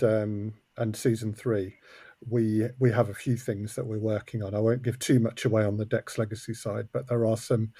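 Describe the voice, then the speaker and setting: A man's voice: on the low side, dark tone, no echo. Man, close dry sound, chatting. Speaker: guest, audio drama co-creator and director. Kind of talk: conversational interview.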